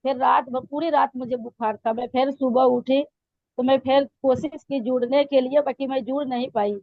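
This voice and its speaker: A hoarse woman giving a halting spoken testimony to her pastor, with many fillers and restarts. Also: high-pitched, steady tone, no room sound, phone-quality sound. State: hoarse throat. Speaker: church member giving testimony.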